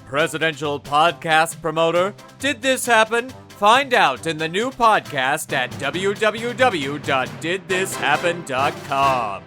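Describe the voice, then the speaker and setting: A man's voice: sits high, sharp tone. A man narrating and announcing in a scripted, theatrical radio-serial style. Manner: theatrical, presentational.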